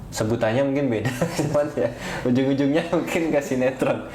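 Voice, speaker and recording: A young man with an Indonesian accent chatting as a podcast guest, explaining in a measured way and pausing to find words. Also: medium pitch. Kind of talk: casual interview chat about studying abroad. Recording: roomy echo, clear background noise.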